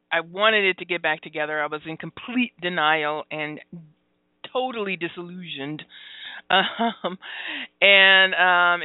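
A woman's voice: fairly low pitch, smooth, no room sound. Candid woman on a tinny line. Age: 40s. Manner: open and confessional, halting with fillers, laughs often. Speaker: radio talk show host. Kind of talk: candid phone-in radio chat. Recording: dry, tinny phone-like sound.

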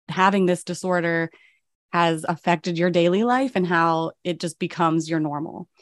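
A woman hosting a podcast interview, speaking in a calm, earnest and reflective way. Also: deep voice, no room sound, studio quality. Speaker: podcast host.

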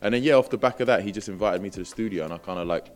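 A man's voice: on the low side, racing, a little mellow. A man with a London accent telling stories casually as an interview guest, in slangy, laid-back phrasing. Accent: London English. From Britain. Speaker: interview guest, rapper and entrepreneur. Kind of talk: casual conversational storytelling.

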